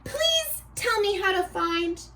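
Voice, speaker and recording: Woman voicing an exclaiming, high-pitched young storybook prince. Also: sing-song, slow pace, fairly bright tone, distant mic, heavily acted. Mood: pleading. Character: eager young fairy-tale prince, exclaiming and earnest.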